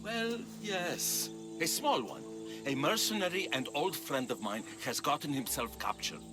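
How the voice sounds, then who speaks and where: An actor playing a wily aging former mercenary, an old friend, in a video game. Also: male voice, a little high, animated, measured pace, over music. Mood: pleading, friendly.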